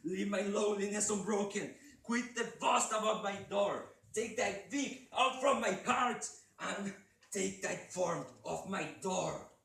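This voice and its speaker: Teenage man playing a desperate grieving man, voice raised high. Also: takes it slowly, creaky, fairly bright tone, full character voice. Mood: furious. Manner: desperate, declaiming. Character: grief-maddened man pleading and raging at a raven. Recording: very echoey room, distant mic, faint noise.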